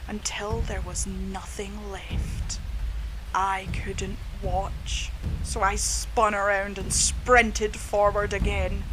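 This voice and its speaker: A woman reading a scripted horror story in a theatrical, measured way. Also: mid-range voice. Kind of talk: scripted dramatic horror narration. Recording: clear background noise, ambience.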